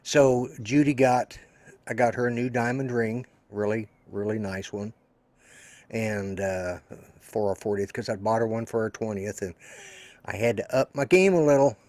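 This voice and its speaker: A hoarse man in his sixties, co-hosting a live show and rambling along with self-deprecating jokes. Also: lowish voice, moderate pace, a little mellow. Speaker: co-host. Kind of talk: casual live-stream chat.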